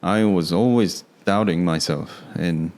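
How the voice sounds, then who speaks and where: A man, a guest on a podcast, speaking softly in short replies. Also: low voice, unhurried. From Korea. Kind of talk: casual interview chat.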